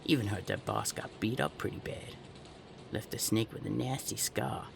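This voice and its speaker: A rough-edged gang member acted by a man in an audio drama, speaking low with a thick rasping creak. He is blunt and streetwise, talking like an old hand to a recruit over loud background noise. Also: wildly swooping pitch, moderate pace, full character voice. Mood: dismissive. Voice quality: gravelly. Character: brash, foul-mouthed gang member mentoring a recruit. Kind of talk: audio roleplay acting.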